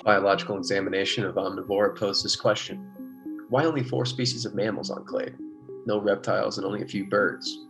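An actor playing an earnest, wryly patient colony biologist in an American golden age radio drama, speaking in a measured, explanatory way. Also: male voice, lowish voice, creaky. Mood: clinical. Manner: measured clinical dictation alternating with easygoing explanation.

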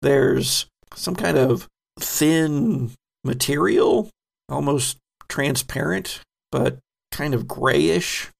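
Gravelly-voiced man running a game. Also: a little high. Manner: descriptive, unhurried with frequent ums. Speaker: game master. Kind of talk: narrating a tabletop horror game.

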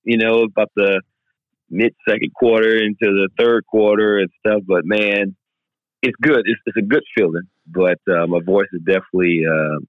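A man co-hosting a casual sports podcast, hoarse and raspy from yelling, talking in an animated, emphatic way. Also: lowish voice, moderate pace, no room sound, narrow, tinny audio. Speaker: podcast co-host.

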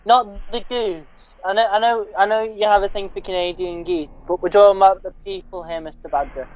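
A man trades dry, teasing remarks and quick asides in a casual comedy chat. His voice is muffled and slightly dull in the recording. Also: high voice, fairly even intonation. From Liverpool, England.

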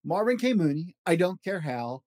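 A man's voice: sits high, very animated, normal speed, darkish tone, no echo, clean studio sound. A man hosting a children's story podcast, reading aloud expressively with an emphatic, rhythmic delivery.

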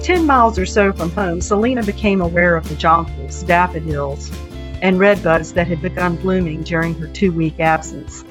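A woman's voice: on the low side, moderate pace. A woman writer reading her own literary short story as a narrator. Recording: dull, dry recording with music bed.

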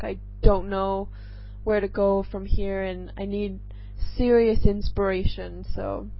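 A young woman from England, with a mixed accent that sounds mostly American, hosts her podcast as a casual solo chat. She talks nervously and rambles, with lots of ums. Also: medium pitch. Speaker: podcast host. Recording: dull lo-fi recording, faint music.

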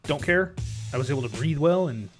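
A man's voice: a little high, expressive, a little fast, soft background music. A man hosting and narrating a tabletop game as game master, upbeat and chatty.

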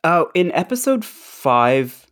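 A man's voice: on the high side, very animated, takes it slowly, a bit bright. A man co-hosting a podcast in casual chat, with a jokey, self-deprecating, rambling way of talking. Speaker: podcast co-host.

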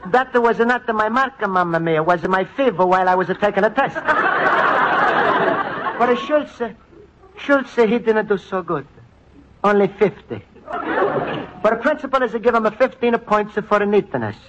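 A man's voice: high voice, lively intonation, unhurried, long pauses, crowd noise, noisy background. An actor playing a naive, earnest Italian immigrant man with an Italian accent and broken English, in a 1950s radio comedy. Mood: fond. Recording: dull old radio broadcast.

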